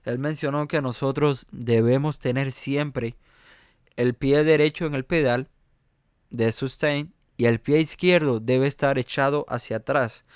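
A man's voice: medium pitch, fairly even intonation, unhurried, smooth, no room sound, studio quality. A man with a Cuban accent, a jazz pianist talking in an interview, hesitant with many fillers and restarts. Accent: Cuban Spanish. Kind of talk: conversational interview.

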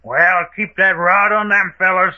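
A man playing a brisk, wary gang boss in an old radio crime drama. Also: high-pitched, fairly even intonation. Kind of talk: 1940s radio adventure acting. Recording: muffled old radio recording.

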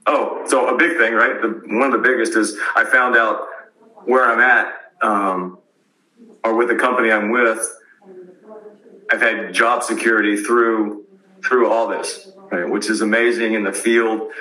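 Bright-toned man, echoey and distant. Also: on the low side. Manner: reflective, halting with fillers and restarts. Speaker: university teacher. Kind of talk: casual team chat. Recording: echoey room, away from the mic.

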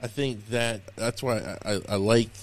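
A man's voice: on the low side, steady tone, normal speed. A man in his thirties co-hosting a podcast, talking loosely and casually with slang and plenty of fillers. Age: 30s. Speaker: podcast co-host. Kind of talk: casual chat.

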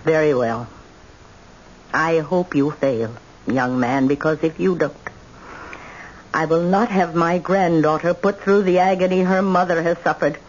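A woman's voice: sits low, unhurried. A woman playing an indomitable, bitter old grandmother, imperious and emphatic, in old-time radio drama style. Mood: bitter, scornful. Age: older. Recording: dull, noisy old radio recording.

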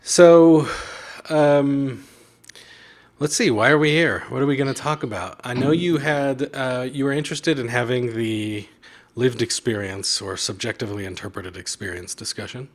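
A man with a medium-pitched voice hosts a casual conversation. He talks loosely and informally, with a joking, self-deprecating manner. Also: moderate pace. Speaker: host of a chat show. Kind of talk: casual video chat interview.

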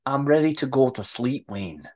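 A woman's voice: a bass voice, lively intonation, moderate pace, a little mellow, pitched below the performer's own voice. A woman playing a feisty, indignant Scottish woman in acted drama dialogue, with blunt, colloquial delivery. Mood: dismissive. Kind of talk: amateur script read-through, acted dialogue.

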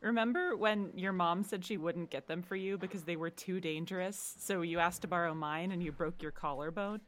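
A woman playing a sardonic teenage high school soccer player in an audio drama, dry and blunt. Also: normal speed, slight background noise, lightly acted. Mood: wry teasing. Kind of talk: naturalistic audio drama acting.

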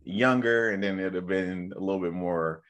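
Deep-voiced guy hosting a chill podcast, talks fast and excited, lots of um and like. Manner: chatty, animated, lots of fillers. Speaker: podcast host. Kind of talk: casual podcast conversation.

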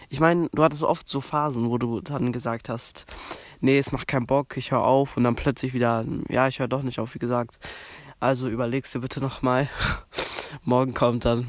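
A man's voice: mid-range voice, no echo. A young man from Germany with a cold, hosting a podcast in a rambling casual monologue, full of fillers and restarts. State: has a cold. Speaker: podcast host.

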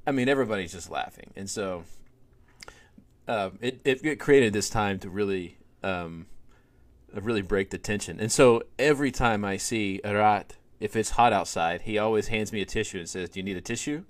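A middle-aged man and podcast host, telling stories in a relaxed conversational way, with lots of fillers and animated swings in emphasis. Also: fairly low pitch, normal speed. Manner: animated storyteller, many fillers.

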